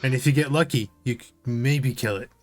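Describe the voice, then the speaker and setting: A man's voice: mid-range voice, brisk, faint music behind. A man in casual chat as a podcast co-host, easygoing and agreeable.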